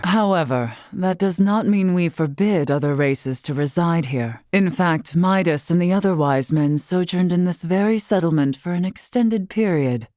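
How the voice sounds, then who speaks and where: A woman playing a wise, courtly elder of a fantasy race in a video game, speaking formally and with measured, gently teasing poise. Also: sits low, dry recording, studio quality. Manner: formal, measured, archaic wording. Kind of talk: scripted game character dialogue.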